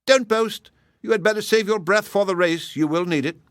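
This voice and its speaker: A man voicing a shrewd, proud little male hedgehog who speaks calmly and slyly. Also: high-pitched, normal speed, no echo, studio quality, pitched above the performer's own voice, close to an everyday voice. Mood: confident. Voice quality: calm, sly, plain-spoken.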